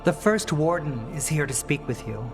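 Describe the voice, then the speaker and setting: An actor as a poised former magister leading rebels in a fantasy game. The voice is mid-pitched, urbane and wryly formal, with faint music underneath. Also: moderate pace, lightly acted. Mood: composed. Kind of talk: dramatic video game acting.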